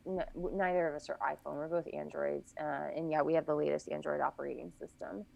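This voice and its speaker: A woman speaking in a lower register with a gravelly, creaky edge, recounting events at length as a podcast guest. Her delivery is casual and conversational, and she often corrects herself mid-thought. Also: fairly even intonation, fast talker, darkish tone. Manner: long detailed recounting, frequent self-corrections.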